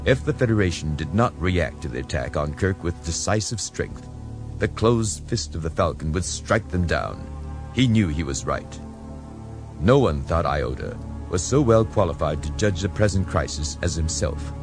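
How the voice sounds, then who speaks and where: A man's voice: sits low, expressive, normal speed, music in the background. A man reading dramatic scripted narration as an audiobook narrator.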